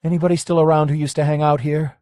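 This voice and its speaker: A hard-edged, streetwise woman , played by a male audiobook reader, snaps her lines curtly. His voice sits higher than usual, and the sound is dry and close. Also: level tone, fast talker, mellow, dry recording, natural voice. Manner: curt, dismissive. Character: tough blunt streetwise woman.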